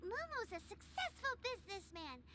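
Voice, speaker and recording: A woman voicing a small, cheeky, childlike floating fairy companion with a squeaky voice in a video game. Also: very animated, moderate pace, heavy background noise. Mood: explanatory, surprised.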